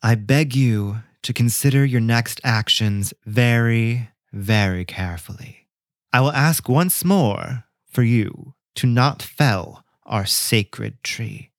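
Male narrator doing a cloaked witch high priestess: calm, breathy, menacing. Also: slow pace, no room sound, studio quality. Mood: calm warning.

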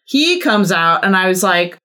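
Woman podcast guest, medium pitch, casual and wry, pokes fun at herself. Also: very animated, a little slow, sharp tone. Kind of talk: casual chat.

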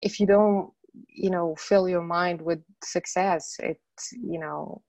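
A woman's voice: lowish voice, steady tone, slow, a little mellow, no room sound. A middle-aged woman from Morocco, an interview guest telling her story earnestly, with many "you know" fillers.

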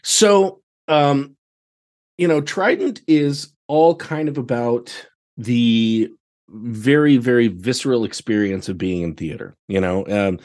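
A man's voice: medium pitch, slow, darkish tone. An American man chatting casually as a podcast guest, telling stories with exclamations and quoting himself. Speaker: podcast guest, theatre director. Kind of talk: casual chat.

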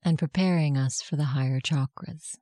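Meditation guide, medium pitch, warm relaxed timbre, gentle measured delivery, scripted narration. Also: no room sound.

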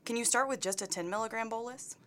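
A woman's voice: fairly high pitch, fast talker, a bit bright, slight background noise. A woman resident physician asking questions and summarizing answers in a teaching discussion. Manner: inquisitive, summarizing.

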